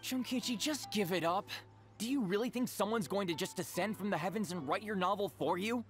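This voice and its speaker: Exasperated, high-voiced sceptical friend. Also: male voice, fairly quick, fairly bright tone. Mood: scolding. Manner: emphatic, chiding. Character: exasperated, sceptical male friend, blunt and scolding. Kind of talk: anime video game voice acting. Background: game music.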